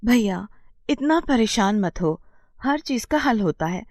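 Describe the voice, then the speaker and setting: Cartoon story sister, young and educated played by a woman: earnest, reassuring, hopeful, with animated pitch swings, close dry sound. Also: takes it slowly, no room sound. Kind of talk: animated moral story character dialogue.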